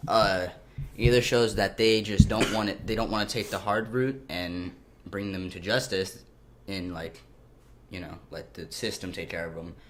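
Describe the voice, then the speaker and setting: Young guy with a lowish voice rambling about stuff, keeps forgetting his point, faint hiss behind. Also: steady tone, moderate pace. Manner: rambling, loses his train of thought. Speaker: co-host. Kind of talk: casual chat.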